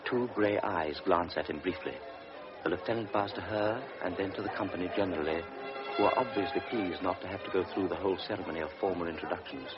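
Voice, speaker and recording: A man narrating a literary radio drama in a deliberate, solemn manner. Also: low-pitched, natural voice. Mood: measured. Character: measured storyteller of a pre-war tale. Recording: dull vintage radio recording with music.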